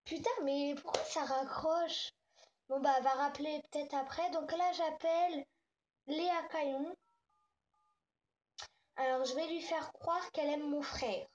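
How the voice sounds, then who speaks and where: A woman's voice: sits high, fairly even intonation, a little slow, long pauses. A young girl chatting casually in slangy, improvised speech, thinking aloud as she makes prank calls. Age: child or young teen. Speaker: host making prank calls. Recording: dull lo-fi home recording.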